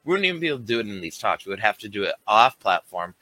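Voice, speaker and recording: A man's voice: on the low side, sing-song, rapid-fire, sharp tone, no echo, low-quality recording. A man talking in a rambling, filler-heavy way in a casual group voice chat, swearing now and then. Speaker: Reddit moderator in a group voice chat.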